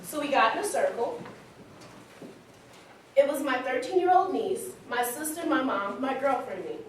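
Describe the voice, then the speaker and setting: Woman acting high-voiced young storyteller in echoey hall. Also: lively intonation, moderate pace. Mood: candid, eager. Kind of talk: staged reading monologue, conversational storytelling. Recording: very echoey hall, distant mic, faint noise.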